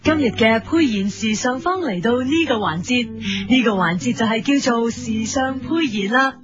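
A woman acts out a self-important fashion show hostess in a parody broadcast. Her voice sits high and her patter gushes and repeats itself. The sound is muffled and lo-fi, with clear music underneath. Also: lively intonation, normal speed, natural voice. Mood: polite, presenterly. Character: earnest, self-important female fashion TV presenter. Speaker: host of a fashion segment. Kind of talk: parody TV show presenting in a radio comedy. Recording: dull lo-fi audio with music underneath.

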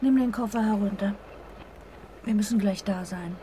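A woman's voice: moderate pace, warm tone, lightly acted. Woman playing a needling wife, over train noise. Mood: matter-of-fact. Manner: matter-of-fact, needling. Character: thrifty, sharp-tongued wife bickering on a train. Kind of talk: German radio play acting. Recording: train rumble noise behind the voice. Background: moving train ambience.

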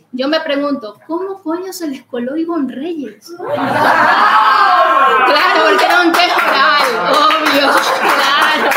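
Cómica venezolana de voz aguda en sala con eco. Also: female voice, high voice. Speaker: podcast host, comedian. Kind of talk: live stand-up style hosting before an audience. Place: live comedy venue with audience. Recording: echoey live venue, clearly noisy.

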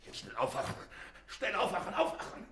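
A man's voice: sits high, wildly swooping pitch, a little fast, a bit bright, full character voice. An actor in a radio play as a guilty man in panic, stammering and muttering to himself in agitation. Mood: frantic self-soothing. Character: panicked guilty man alone at night with a corpse. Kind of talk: German radio play acting.

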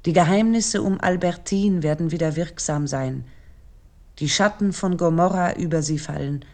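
A woman with a low-pitched voice reads a scripted literary essay. Her delivery is serious and measured, and she articulates carefully as a commentator. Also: darkish tone. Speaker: commentator introducing a literary work.

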